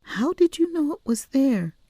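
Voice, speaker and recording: A woman's voice: high-pitched, big pitch swings, normal speed, warm tone, dry recording, studio quality, voice pushed up. A woman voicing a tough, protective female cat burglar with a curt, stern manner, in an audiobook story. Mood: probing, surprised.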